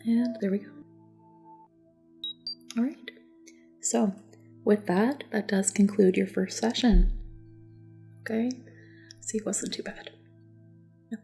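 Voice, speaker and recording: A woman speaks in a soft, dark, relaxed ASMR voice that often slips into a whisper. Her delivery is gentle and reassuring, with a noticeable creak as she gives unhurried instructions like a calm examiner. Music plays behind her. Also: medium pitch. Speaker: ASMR roleplayer as a test examiner. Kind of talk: soft-spoken ASMR personal attention roleplay.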